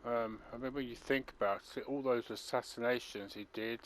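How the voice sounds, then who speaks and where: A man's voice: medium pitch, steady tone, moderate pace, fairly bright tone, slight background noise, low-quality recording. A man commenting on his video game playthrough, rambling and chatty. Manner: rambling and chatty, many fillers. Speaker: gaming channel host. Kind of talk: let's play commentary.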